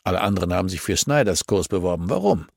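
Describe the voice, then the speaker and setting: A man's voice: sits low, very animated, fast talker, gravelly, darkish tone, dry recording, full character voice. A male narrator plays a domineering older police chief in an audiobook thriller. He growls in short, commanding questions, as if conducting an interrogation, and the sound is close and dry. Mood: stern.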